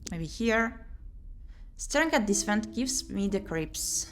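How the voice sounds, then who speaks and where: A woman streams a visual novel, reading its narration aloud and commenting as she goes. Her voice sits at a middling pitch and her delivery is expressive, given to exclaiming and muttering to herself. Music is clearly audible behind her. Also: takes it slowly.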